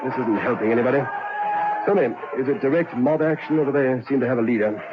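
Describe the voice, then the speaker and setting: An actor playing a stern, commanding prison governor in a radio drama, brisk and authoritative like a military major. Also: male voice, mid-range voice, close to an everyday voice. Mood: brisk, concerned. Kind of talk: old radio drama acting. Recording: dull, noisy lo-fi vintage radio recording.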